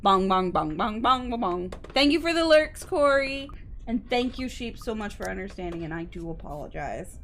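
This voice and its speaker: A woman's voice: mid-range voice, sing-song, normal speed, a bit bright, a little hiss or hum. A woman livestreamer chatting casually with her co-host and viewers, explaining things politely. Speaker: game livestreamer. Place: indoor streaming setup at a computer.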